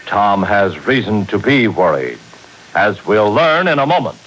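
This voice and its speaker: Deep, swooping male radio announcer. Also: very animated, a little slow, fairly bright tone. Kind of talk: dramatic 1940s radio serial narration. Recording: hissy, noisy 1940s radio recording.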